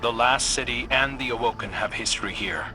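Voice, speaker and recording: An actor playing a wry, guarded fallen prince in a video game, dry and sardonic. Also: male voice, mid-range voice, fairly quick, over music, close to an everyday voice, poor audio. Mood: wary.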